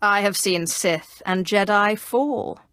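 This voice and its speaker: Haughty, menacing Sith sorceress. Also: female voice, mid-range voice, a bit bright, smooth, dry recording. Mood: imperious, menacing. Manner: haughty, commanding, deliberate delivery. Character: menacing imperious female Sith sorceress, risen from slavery. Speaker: video game voice actor. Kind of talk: scripted game dialogue.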